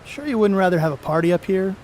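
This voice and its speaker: A man's voice: high voice, lively intonation, fast, darkish tone, slight background noise. A man on stage playing a brash, cocky 1930s baseball star who blusters in folksy, profane slang. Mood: teasing, boastful. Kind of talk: amateur stage play acting.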